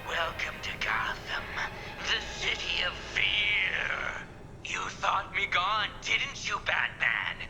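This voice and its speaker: A menacing fear-monger villain speaks very slowly and theatrically. The taunts move through a high, lively range with loud game music underneath. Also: male voice, full character voice. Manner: slow, deliberate, theatrical menace. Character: sinister male master of fear, taunting and menacing supervillain.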